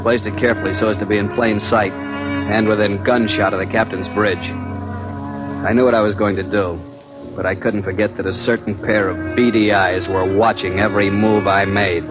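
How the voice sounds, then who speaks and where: Young American male adventurer, played by an actor, lowish voice, classic radio thriller style, muffled broadcast with prominent music. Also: steady tone, normal speed, lightly acted. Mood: tense, brooding. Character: determined young male adventurer narrating with mounting dread. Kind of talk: 1940s-50s American radio drama acting.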